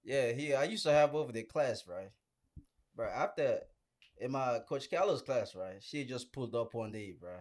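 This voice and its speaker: A man's voice: mid-range voice, lively intonation, moderate pace, darkish tone. A young man in a casual group chat, telling stories in slangy speech and often calling his friends bro. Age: young adult. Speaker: podcast participant.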